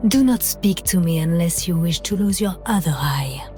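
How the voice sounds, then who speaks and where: A cold-blooded villainess played by an actress from a video game, an assassin full of contempt. She speaks in a slightly low, icy, menacing voice over faint music. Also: lively intonation, normal speed, dark tone, natural voice. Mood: icy, threatening.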